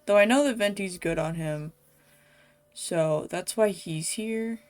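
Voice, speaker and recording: A woman's voice: fairly low pitch, unhurried, darkish tone, no room sound. A woman game streamer chatting casually to viewers as she plays. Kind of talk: casual livestream chat while playing a game.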